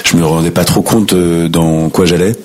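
Low husky-voiced French actor. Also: male voice, fairly even intonation, moderate pace, darkish tone. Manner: hesitant, many fillers and restarts. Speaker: actor interviewed. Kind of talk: casual interview chat.

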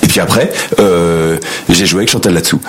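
A man with a French accent, an actor casually telling stories in an interview, enthusiastic and often halting. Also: sits low, a little hiss or hum. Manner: enthusiastic, halting, repeats himself. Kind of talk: casual anecdotal interview talk.

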